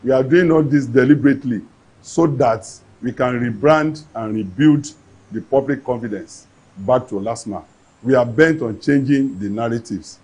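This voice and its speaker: A husky-voiced man speaks as an agency official in a news interview. He answers in an earnest, official manner and sometimes restarts his phrases. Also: mid-range voice, moderate pace, darkish tone, rough recording.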